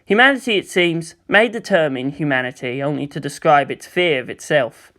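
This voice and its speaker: A woman's voice: deep voice, moderate pace, fairly bright tone. A woman voicing a thoughtful, world-weary teenage boy in a storybook reading. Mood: grave, weary.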